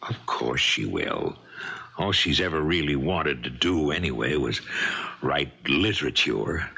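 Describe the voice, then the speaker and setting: An actor playing an anxious, guilt-ridden husband in a radio mystery, with a halting, agitated delivery full of stammered repetitions. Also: male voice, extremely low pitch, sing-song, creaky, sharp tone, slightly rough, heavily acted. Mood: defensive. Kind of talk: 1970s radio mystery acting.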